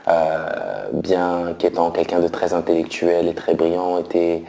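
A man in his thirties from France, giving a slow, hesitant personal faith testimony with many pauses and fillers. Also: low voice, gravelly, mellow, echoey room. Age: 30s.

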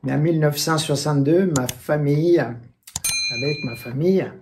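Guy from southern italy, highish voice, talking straight to you, bit of noise. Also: normal speed. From southern Italy, moved to eastern France in childhood or youth. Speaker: testimony giver and singer. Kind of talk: personal address to listeners. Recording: faint background noise with clicks.

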